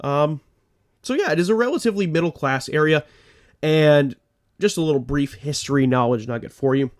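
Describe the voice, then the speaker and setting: Congested man hosting a true crime podcast. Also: medium pitch. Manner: earnest, rambling asides. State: congested, a little phlegmy. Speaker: podcast host.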